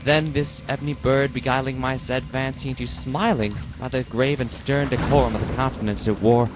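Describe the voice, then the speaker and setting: A man giving a slow, brooding, theatrical dramatic reading of a poem. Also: medium pitch, over music. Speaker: reader. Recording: steady rain noise behind voice.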